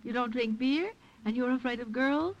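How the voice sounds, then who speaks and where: A woman's voice: on the high side, normal speed, dull audio, natural voice. An actress playing a playful, teasing young Italian tavern girl in an old-time radio drama. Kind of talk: 1940s-50s radio drama acting.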